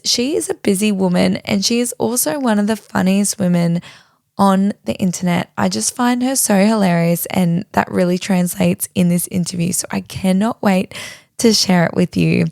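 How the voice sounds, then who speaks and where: Aussie woman podcast host, voice all husky and crackly, gravelly, bubbly and excited, dry close sound. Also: mid-range voice, no echo. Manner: bubbly, enthusiastic, laughs often. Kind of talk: casual chat and solo intro. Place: bedroom floor.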